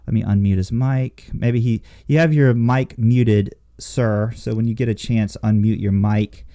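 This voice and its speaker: A man's voice: lowish voice, fairly even intonation, unhurried, mellow. A man co-hosting a casual talk show, speaking in an emphatic, rambling and profane way. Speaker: podcast co-host. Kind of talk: casual chat.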